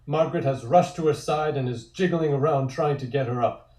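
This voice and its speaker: Mellow-voiced man narrating, echoey room. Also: mid-range voice, a little fast. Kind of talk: reading comic panel directions at a table read.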